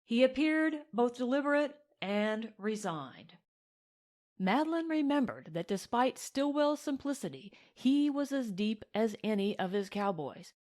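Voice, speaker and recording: A woman reading a novel aloud as an audiobook narrator, in a measured, careful way. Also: medium pitch, a little mellow.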